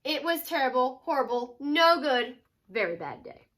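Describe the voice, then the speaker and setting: A woman with a high, bright voice reads a storybook to children in the manner of a teacher. Her delivery swings widely in pitch, leaning dramatically on key words. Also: sing-song, moderate pace. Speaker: teacher reading aloud to children. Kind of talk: expressive children's picture-book read-aloud.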